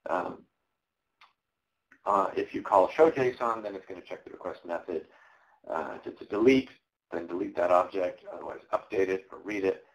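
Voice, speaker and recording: A man with a fairly low voice walks through code live at a tech presentation, explaining each step in a casual, halting way. His voice is going hoarse as he talks. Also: low-quality recording. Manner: casual, explanatory, frequent ums and uhs. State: losing his voice. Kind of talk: live coding walkthrough. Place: conference presentation.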